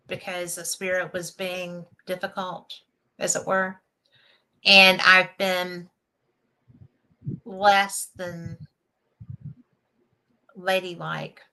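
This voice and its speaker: A woman's voice: on the low side, bright, forceful tone, rough recording. An older woman with a Southern US accent, hosting a casual live-stream chat, chatty and folksy, laughing easily. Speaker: host.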